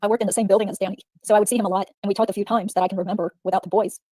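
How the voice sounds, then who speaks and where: A woman voices a wary female witness under deposition. Her tone is dark and mellow, and her replies come quickly but measured. The recording is dry and close. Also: mid-range voice, fairly even intonation, dry recording, close to an everyday voice. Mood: guarded. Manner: guarded, measured answers. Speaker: reader in a deposition reenactment. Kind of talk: read-aloud legal reenactment.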